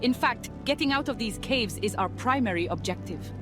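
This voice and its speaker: A woman's voice: fairly high pitch, expressive, normal speed, close to an everyday voice. A woman voicing a wary, determined young female adventurer who talks earnestly and directly, over music.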